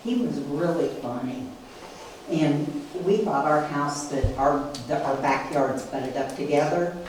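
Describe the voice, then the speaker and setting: A man's voice: on the high side, measured pace, a little mellow. An older man in an audience casually telling anecdotes from memory. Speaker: audience member sharing memories. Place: small meeting hall, indoors. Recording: echoey, noisy, distant mic.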